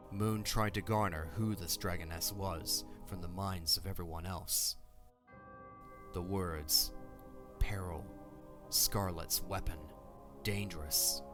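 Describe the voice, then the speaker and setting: A man narrating a fantasy audio drama as a storyteller, reading a scripted tale in a measured, dramatic way. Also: deep voice, steady tone, over music.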